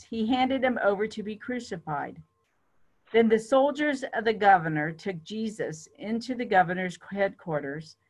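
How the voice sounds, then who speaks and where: Church lady reading bible narrative out loud, medium pitch, dramatic reading. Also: rough recording. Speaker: church reader.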